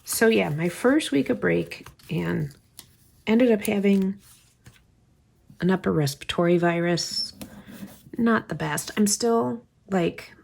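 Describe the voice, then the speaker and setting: A woman's voice: mid-range voice, slow, creaky, pauses often, darkish tone, slight background noise. A woman with a slight cold and a stuffy voice, chatting casually as she plans, thinking aloud and often dropping to a whisper. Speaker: planner vlogger. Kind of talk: casual craft chat while planning.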